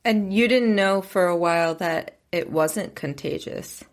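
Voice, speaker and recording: A woman's voice: on the low side, measured pace. A woman hosting an interview podcast, talking casually with lots of fillers. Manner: chatty, with many "like"s and "you know"s. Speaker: podcast host.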